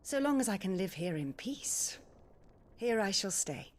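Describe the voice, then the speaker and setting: A woman's voice: mid-range voice, big pitch swings, unhurried. An actress playing a refined, emotional middle-aged noblewoman in a video game cutscene. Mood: wistful. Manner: refined, emotional, formal speech. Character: warm-hearted widowed noblewoman, middle-aged.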